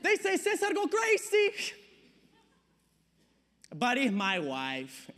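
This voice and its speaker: Middle-aged immigrant father, Latin American Spanish accent, high voice, broken English, nervously jovial, stage monologue, long hall reverb. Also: slow pace, full character voice. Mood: animated, confiding. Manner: broken English, frequent nervous chuckles, animated storytelling.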